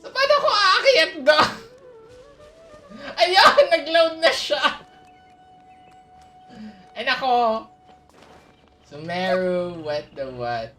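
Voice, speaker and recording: A Filipino woman doing casual, expressive live game commentary, slipping between languages in a playful way. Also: high voice, extremely slow, pauses often, faint music behind. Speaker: game streamer.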